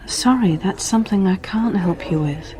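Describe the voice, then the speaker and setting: A woman playing a guarded but frank escort in a radio play, speaking in a measured, wry way. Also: medium pitch, darkish tone, close to an everyday voice, low-quality recording.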